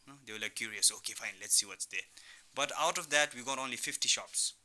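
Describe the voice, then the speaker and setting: A man's voice: mid-range voice, quick pace, sharp tone. A young man who grew up in Thailand, answering as an entrepreneur guest in a radio interview, explaining with examples and frequent "you know". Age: young adult. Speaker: entrepreneur guest, online business founder. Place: radio studio.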